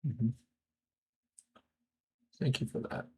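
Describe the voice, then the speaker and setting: A man streaming a video game, chatting casually with his viewers as he wraps up the session and thinks aloud. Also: slow. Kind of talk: casual stream sign-off chat.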